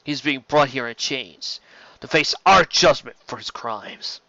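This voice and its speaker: A man's voice: medium pitch, measured pace, sharp tone, no room sound, lo-fi sound. A man voicing a triumphant, contemptuous alien warrior leader with a haughty manner, in an audiobook reading.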